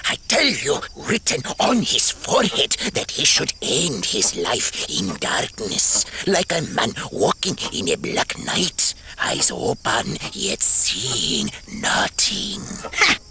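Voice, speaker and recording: An actor playing an ominous, fatalistic storyteller in a jungle camp, dramatic and portentous, in an audio drama. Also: male voice, medium pitch, huge pitch swings, slow, bright, forceful tone, slight background noise, full character voice. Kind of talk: full-cast audio drama acting.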